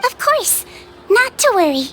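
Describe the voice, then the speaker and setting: Very high-voiced, earnest little nurse. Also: female voice, very animated, fairly bright tone, slight background noise, heavily acted. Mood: polite, earnest. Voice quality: high, girlish. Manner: polite, measured, earnest. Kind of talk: video game voice acting. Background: music.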